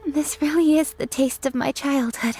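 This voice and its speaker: A woman's voice: high-pitched, fairly even intonation, normal speed, a little mellow. A woman voicing an earnest, thoughtful young noblewoman official in a game, formal and articulate. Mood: reflective. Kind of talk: scripted game voice acting.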